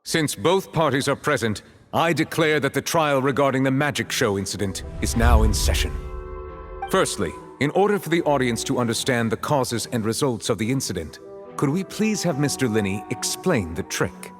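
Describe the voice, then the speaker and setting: A man voicing a stern, formal judge in a video game, measured and authoritative. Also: medium pitch, animated, soft background music, lightly acted. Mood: solemn, formal. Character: stern, formal male judge presiding over a trial.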